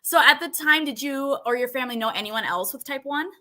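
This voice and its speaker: A woman's voice: sits high, fairly quick, crowd noise. A young woman hosting an interview, friendly and chatty, with many "like"s and encouraging replies. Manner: friendly, uses "like" often, warm affirmations. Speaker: interview host. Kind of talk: casual interview chat.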